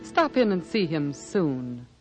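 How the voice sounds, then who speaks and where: A man's voice: a little high, sing-song, slow, darkish tone, faint music behind, rough recording. A man with an American accent reading a scripted 1950s radio advertisement in a polished, salesmanlike manner. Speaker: commercial announcer.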